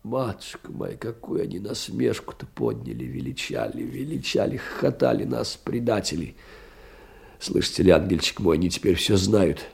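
An actor in an audio drama playing a timid, humiliated poor middle-aged clerk in despair, halting and anguished, with a husky, breathy voice. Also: male voice, lowish voice, very animated, full character voice. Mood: anguished agitation. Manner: halting, anguished, swinging from whisper to outcry. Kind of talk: theatrical audio drama monologue.

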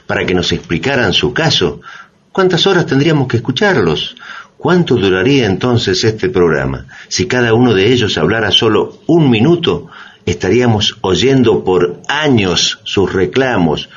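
An actor playing an ironic, impassioned radio presenter, speaking in emphatic rhetorical style with a Latin American Spanish accent. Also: male voice, fairly low pitch, breathy edge. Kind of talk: dramatised commentary, radio theatre. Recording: dull radio recording, faint noise.

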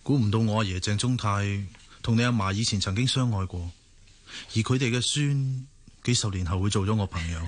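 A man's voice: lowish voice, fairly quick, close to an everyday voice. An actor with a Hong Kong Cantonese accent plays an earnest, puzzled young man in a radio drama. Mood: reflective.

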